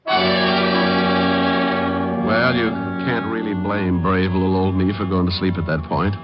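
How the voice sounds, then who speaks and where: Low-voiced wisecracking radio private eye. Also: male voice, fairly even intonation, measured pace. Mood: wry narration. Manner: dry, flippant, wry delivery. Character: wisecracking, tough, sardonic private detective. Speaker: lead actor and narrator. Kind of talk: 1940s-50s radio detective acting. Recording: dull vintage radio recording, faint music.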